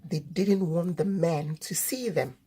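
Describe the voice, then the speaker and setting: A woman reading a children's story aloud as host, in deliberate, expressive narration. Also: lowish voice, slight background noise.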